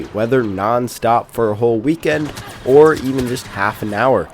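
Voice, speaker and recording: A man's voice: medium pitch, a little mellow, a little hiss or hum. A man with a stuffed-up, congested voice gives polite, scripted commentary over game footage as a channel host. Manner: polite, formal phrasing.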